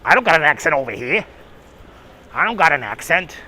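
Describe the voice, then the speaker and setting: A man's voice: a little high, sing-song, fairly quick, pushed and bright, slight background noise, rough recording. Chatty New York man streaming outdoors. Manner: chatty, reads chat names aloud, laughs often. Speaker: livestream host. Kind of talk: casual live-stream chat while walking. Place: outdoors on city streets. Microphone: phone on gimbal.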